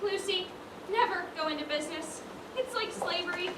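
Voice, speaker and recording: An echoey, noisy stage recording carries a woman playing a proper lady shopkeeper. She is brisk and exasperated, scolding in formal, emphatic phrases at a very high pitch. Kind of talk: amateur stage play acting. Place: large indoor performance space, far from mic. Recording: distant, echoey stage recording with loud noise.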